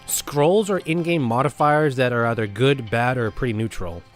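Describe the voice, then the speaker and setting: A man's voice: medium pitch, moderate pace, music in the background. A man hosting a casual video game review, talking in a blunt, rambling, conversational way.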